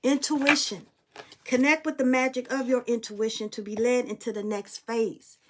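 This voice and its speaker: A woman's voice: a little high, level tone, moderate pace. A young adult woman speaking in a casual spiritual monologue as a spiritual reader, blunt and emphatic, swearing freely.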